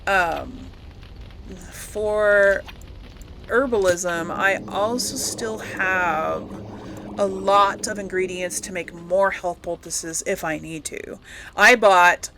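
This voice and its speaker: A woman's voice: mid-range voice, slow, bright, forceful tone, a little hiss or hum, rough recording. A woman giving casual, rambling commentary as she plays a game, often correcting herself and lightly amused. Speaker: gaming host.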